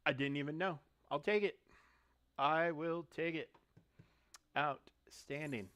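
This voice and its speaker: A man streaming a mobile game, thinking aloud in a relaxed, muttering way as he clicks through menus. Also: on the high side, slow pace, smooth, no room sound. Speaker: gaming streamer. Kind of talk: casual live-stream commentary while playing.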